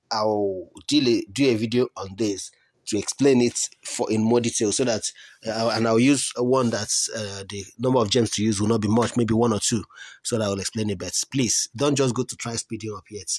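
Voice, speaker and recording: A man's voice: mid-range voice, a little mellow. A man hosting a casual app tutorial, thinking aloud as he searches menus, trailing off and restarting, with earnest repeated advice to his viewers. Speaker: crypto app tutorial host. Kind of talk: casual screen-recorded walkthrough. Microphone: voice-over on a phone screen recording.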